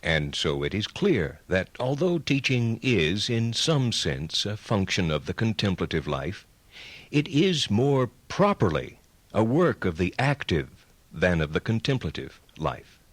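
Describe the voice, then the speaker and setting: An actor playing a learned medieval friar and teacher, reading philosophical writings in a measured, formal, courteous manner. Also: male voice, fairly low pitch, big pitch swings, no echo. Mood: measured, explanatory.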